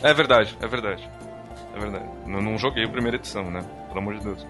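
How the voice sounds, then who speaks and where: A man's voice: on the low side, fast talker. A man with a Brazilian accent co-hosting a podcast, chatting informally with jokes and slang and reading listener messages. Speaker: podcast co-host. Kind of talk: casual chat, reading listener comments. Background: background music.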